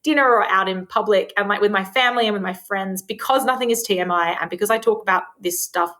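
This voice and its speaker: A woman speaking as a guest sex therapist on a podcast, talking frankly about sex with lots of "like" fillers and a naturally loud voice. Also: medium pitch, fast. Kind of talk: casual podcast conversation.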